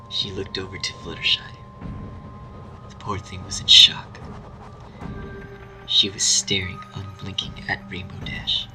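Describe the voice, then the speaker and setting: A man narrating a scripted horror story in a hushed, breathy voice. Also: low-pitched, low-quality recording. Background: music.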